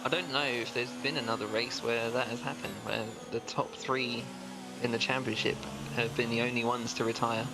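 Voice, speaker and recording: A man's voice: medium pitch, little pitch movement, normal speed, loud music behind the voice, low-quality recording. A man co-commentating an online race, reading out lap times and stats with many hesitant fillers. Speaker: statistics co-commentator. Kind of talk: sim racing commentary.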